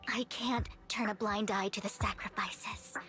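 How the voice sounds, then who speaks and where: A woman voicing an earnest, wounded young woman in a video game, formal and measured in her delivery. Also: medium pitch, steady tone, bright, forceful tone, faint music behind. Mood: pained, resolute. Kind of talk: English video game voice acting.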